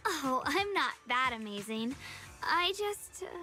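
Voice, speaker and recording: A woman's voice: high voice, big pitch swings, measured pace, bright, forceful tone, soft background music, full character voice. A woman voicing an earnest, modest young swordswoman in a video game, polite, hesitant and easily flustered. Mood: shy, flustered.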